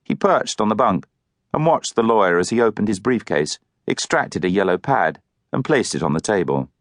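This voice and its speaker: Low-voiced man narrating a novel. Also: very animated, normal speed, no room sound, clean studio sound. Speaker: audiobook narrator. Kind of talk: scripted literary narration.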